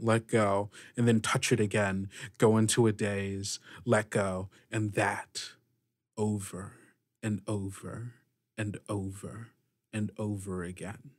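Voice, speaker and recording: A man narrating a tabletop roleplaying game as game master, improvising in short, halting phrases. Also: fairly low pitch, no echo, studio quality.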